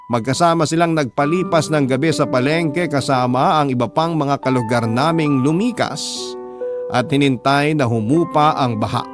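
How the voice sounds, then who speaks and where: Filipino man narrating over prominent music. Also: a little high. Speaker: radio storyteller reading a listener's letter. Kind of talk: scripted dramatic narration of a love story. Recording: music playing under the voice. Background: background music.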